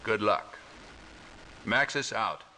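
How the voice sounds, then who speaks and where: A man playing a brisk military officer giving mission orders in a video game cutscene. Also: lowish voice, lively intonation, pushed and bright, heavily acted, poor audio. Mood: businesslike. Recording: clear background noise.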